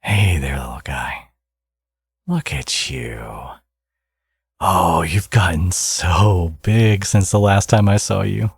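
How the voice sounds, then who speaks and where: A man playing a smug, flirtatious villain in an intimate ASMR audio roleplay, teasing and coaxing, with a gravelly, husky voice. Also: sits low, lively intonation, slow pace, no echo, exaggerated voice. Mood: playful, doting.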